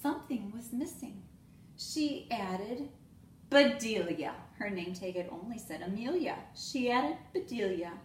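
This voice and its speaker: A woman reading a children's storybook aloud in an expressive way, addressing young students. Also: medium pitch, unhurried. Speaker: school staff member reading aloud to students. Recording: roomy echo, clear background noise.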